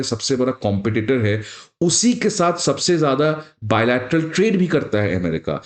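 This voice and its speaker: A man's voice rings with noticeable room echo. He has an average pitch and an Indian accent. He breaks down current affairs like a teacher, speaking straight to students and slipping in English phrases. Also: darkish tone. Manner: didactic, addresses viewers directly. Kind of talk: explanatory news analysis for students, mixing in English phrases. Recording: roomy, echoing sound.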